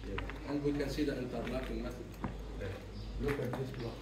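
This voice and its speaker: A man's voice: medium pitch, fairly even intonation, a little fast. Echoey, distant male tour guide. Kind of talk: explanatory on-site guiding to a group. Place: echoey ancient stone site. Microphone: picked up from a distance by the host's camera. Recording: echoey, noisy, distant from the mic.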